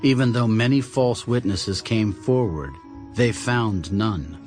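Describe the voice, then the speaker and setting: A man's voice: on the low side, slow. A man narrating a dramatized audio Bible in a scripted storytelling style. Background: music.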